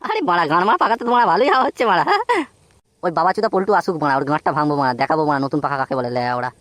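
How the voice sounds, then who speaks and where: A man's voice: on the high side, expressive, rapid-fire, fairly bright tone. A man voicing a foul-mouthed, blustering young thief in a comic cartoon. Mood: boastful, irritated. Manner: swearing constantly, blustering. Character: foul-mouthed brash young male neighbourhood thief, defiant.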